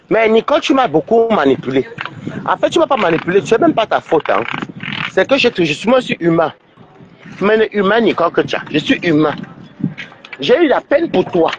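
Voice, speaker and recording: Lively high-pitched man with a cold. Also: moderate pace, long pauses, dull audio, background noise. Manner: scolding, repetitive, stumbling restarts. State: stuffy with a cold. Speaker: livestreamer. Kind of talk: rambling livestream rant.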